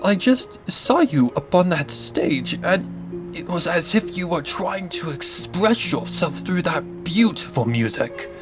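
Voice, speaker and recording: A man playing a nervous, gentle, earnest painter stallion in an audio drama, with halting delivery. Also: high voice, very animated, full character voice. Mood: shy, sincere. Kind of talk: audio drama character acting. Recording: music behind the voice.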